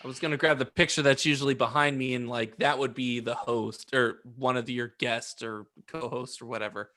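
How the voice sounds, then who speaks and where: A man's voice: mid-range voice, fairly even intonation, fairly quick, pushed and bright. A man co-hosting a podcast, chatting casually with the others. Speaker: podcast co-host. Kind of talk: casual chat.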